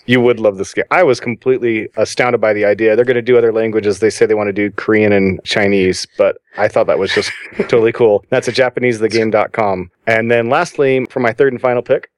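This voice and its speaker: A man's voice: on the low side, fairly even intonation, fairly quick, no room sound. A man on a podcast panel, chatting with enthusiasm as he shares his picks. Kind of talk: casual podcast chat.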